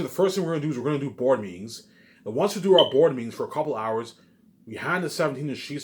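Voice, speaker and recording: A man giving an informal, rambling monologue, repeating himself and restarting phrases, with emphatic tag words. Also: mid-range voice. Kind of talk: rambling informal monologue about personal plans.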